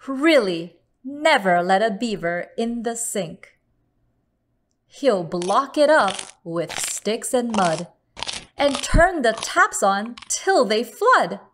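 Slow, higher-pitched woman reading a children's story. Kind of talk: read-aloud picture book narration for children.

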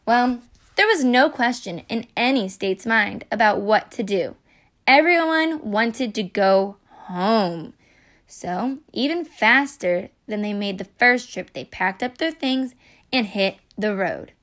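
A woman with a fairly high voice reads a picture book aloud. She takes her time, speaking expressively and adding little explanatory asides. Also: animated, takes it slowly, fairly bright tone. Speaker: storybook reader.

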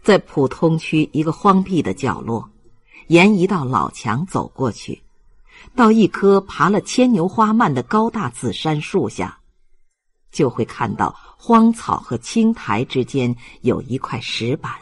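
Slow, solemn male audiobook narrator. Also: fairly high pitch, husky. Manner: solemn, measured, expressive. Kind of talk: scripted literary narration.

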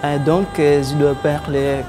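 Tanzanian man in his twenties, slow halting talk over music. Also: fairly high pitch, little pitch movement, crowd noise. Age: 20s. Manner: slow, hesitant. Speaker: student. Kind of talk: interview answers. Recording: music playing behind.

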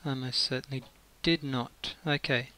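Slow-paced, bright-voiced man thinking aloud. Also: medium pitch, steady tone. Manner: muttering to himself, thinking aloud. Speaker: gaming video creator. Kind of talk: casual gameplay commentary while building. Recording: faint hiss and clicking.

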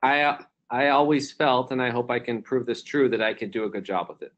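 A man of ordinary pitch talks through his answers on a conference call. He is reflective and unhurried, and he repeats his points with plenty of ums and false starts. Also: fairly bright tone, muffled recording. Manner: reflective, repeats himself with fillers and restarts. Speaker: company CEO, keynote guest.

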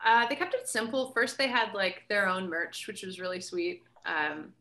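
A young Canadian woman who is a musician and a guest on a podcast, chatting in a lively, upbeat way over a video call and using lots of "like". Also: mid-range voice, moderate pace. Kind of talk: casual chat. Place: home room, video call. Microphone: laptop camera mic, video call.

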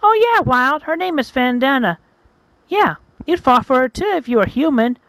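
Storyteller doing an excitable, gossipy female hedgehog. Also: high voice, normal speed, no room sound, pitched above the performer's own voice, exaggerated voice. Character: excitable female hedgehog creature, concerned friend. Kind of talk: amateur acted-out story dialogue.

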